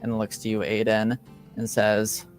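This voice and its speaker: A man acting as game master, casually narrating and explaining rules in a halting, deliberating way. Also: lowish voice, monotone, soft background music.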